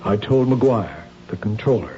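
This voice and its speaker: An actor playing a patient, concerned husband in a 1970s radio drama, earnest and gently reassuring. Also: male voice, on the low side, expressive, a little slow, soft background music. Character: concerned, patient husband, a city hall official. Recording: dull, lightly noisy old radio recording.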